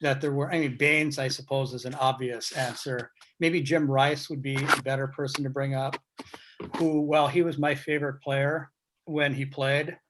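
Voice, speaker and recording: A man talking casually as a guest on a video call, reflective and measured, often posing questions. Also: mid-range voice, little pitch movement, noisy background. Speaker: guest speaker, baseball writer. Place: remote video meeting. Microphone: video call microphone.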